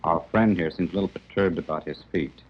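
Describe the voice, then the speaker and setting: A man playing a coolly observant interrogator in a 1940s film, dry, understated and quietly menacing. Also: low-pitched, fairly quick, slightly rough. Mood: dry, watchful. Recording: dull, muffled 1940s film soundtrack.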